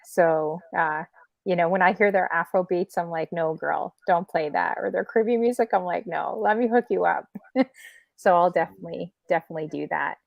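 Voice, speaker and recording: A woman's voice: on the low side, fairly quick, creaky, no room sound, highs cut off. A woman from Toronto hosting a talk show, chatting casually and asking loose, friendly questions full of fillers. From Toronto, Canada. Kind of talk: casual interview chat.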